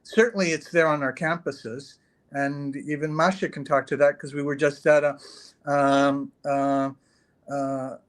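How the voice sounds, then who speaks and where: A man's voice: on the high side, little pitch movement, measured pace. A man from Canada, a podcast guest, giving long explanations full of ums and uhs. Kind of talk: casual conversation.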